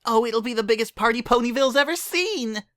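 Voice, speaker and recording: A narrator voicing an excitable, chatty young female cartoon party pony with a squeaky, girlish, bubbly delivery. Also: brisk, no echo, studio quality, natural voice. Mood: excited. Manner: bubbly, gushing, emphatic.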